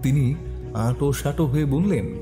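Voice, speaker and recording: A man giving expressive scripted narration of a detective story. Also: medium pitch, normal speed, over music.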